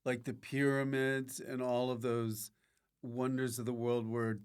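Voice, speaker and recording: A man with a medium-pitched voice hosting a podcast, chatting casually with short joking interjections. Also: level tone, a little slow, clean tone. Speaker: podcast host.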